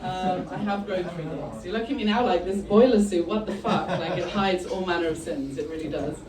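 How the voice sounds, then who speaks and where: A woman's voice: on the low side, brisk. A middle-aged woman from South Africa doing a stand-up set, bantering crudely and mocking herself in front of the crowd. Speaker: stand-up comedian. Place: comedy club with a live audience. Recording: roomy live club sound, mic at a distance, crowd noise.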